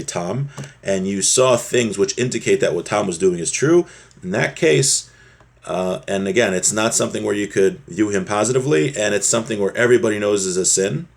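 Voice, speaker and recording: A man with a husky voice giving an informal religious lesson, explaining a text step by step and often rephrasing his points. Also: lowish voice. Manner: repeats and rephrases, checks in with "right?". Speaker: religious teacher giving a class.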